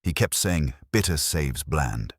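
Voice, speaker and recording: A man's voice: rumbling low, sing-song, slow, slightly rough, no echo, clean studio sound, full character voice. A man voicing a weary, sardonic young woman apprentice baker, curt and guarded, in an audiobook mystery. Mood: wry.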